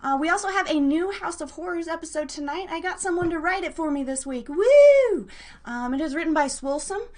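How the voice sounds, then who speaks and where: High-voiced American woman hosting casually. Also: expressive, brisk, a little mellow. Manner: bubbly, playful word coinages. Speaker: radio show host. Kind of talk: casual live podcast hosting.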